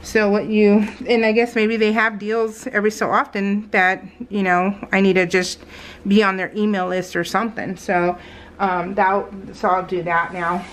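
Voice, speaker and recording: An American woman chatting casually as a craft vlogger, telling stories in a rambling, animated way and acting out what people said. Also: mid-range voice, moderate pace, slight background noise, rough recording. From California, United States. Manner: rambling, animated retelling with acted-out quotes. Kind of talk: casual chat while crafting, voiced in real time.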